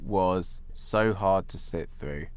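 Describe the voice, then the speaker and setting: A man's voice: sits low, takes it slowly, darkish tone, smooth. A man co-hosting a podcast, giving blunt, critical opinions in a casual film review chat. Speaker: podcast co-host.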